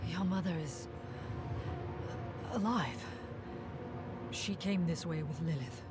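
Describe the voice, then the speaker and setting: A haunted seer in a fantasy game. Her voice is lowish and slow, grave and measured, with music behind it. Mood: solemn, certain. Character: solemn seer haunted by visions of the past. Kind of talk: dark fantasy video game acting.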